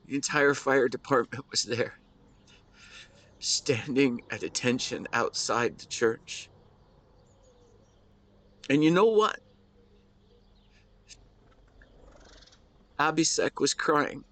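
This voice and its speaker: A man playing a grieving, devoted husband who speaks to his late wife in a tender, emotional, halting way, as an intimate audio roleplay monologue. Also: medium pitch, animated, fairly bright tone. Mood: proud, moved.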